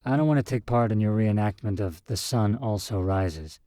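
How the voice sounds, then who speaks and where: A man's voice: fairly low pitch, moderate pace, dark tone, dry recording, clean studio sound, lightly acted. A man voicing a wary, candid young woman in a read short story, speaking frankly and a bit reproachfully. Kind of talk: audiobook character reading.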